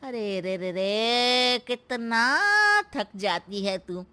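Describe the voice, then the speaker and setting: Woman playing a sharp, slow-talking meddling aunt. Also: fairly high pitch, animated, smooth, heavily acted. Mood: sly flattering. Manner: taunting, exaggerated drawn-out sympathy. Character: meddling older paternal aunt, sly and sulky troublemaker. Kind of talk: Hindi moral story voice acting.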